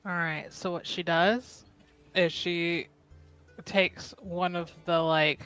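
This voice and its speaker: A woman with a bright, sharp-edged voice plays her part in a tabletop game, describing what her character does in long, rambling stretches broken up by frequent giggles. Faint music runs quietly in the background. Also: fairly low pitch, fairly even intonation, takes it slowly, smooth. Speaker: player. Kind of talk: casual tabletop game play.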